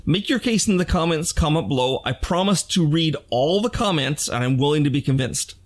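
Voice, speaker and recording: A man's voice: fairly high pitch, expressive, fairly quick. A man in his sixties talking candidly and conversationally to his fans in an opinion vlog, with emphatic delivery. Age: 60s. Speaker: game designer and video channel host.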